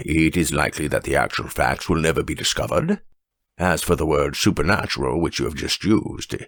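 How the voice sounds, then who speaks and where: A man voicing a dignified, rational judge telling a story in a grave, measured and formal manner for an audiobook. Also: deep voice, gravelly, no room sound, full character voice. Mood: grave, assured. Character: dignified, weighty, rational male French judge.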